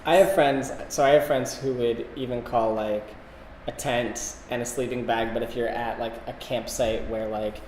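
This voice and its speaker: A man co-hosting a casual podcast chat, talking in a halting way and often interrupting himself. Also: mid-range voice, fairly even intonation, a little mellow. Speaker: podcast co-host. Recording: roomy, long echo, background hiss.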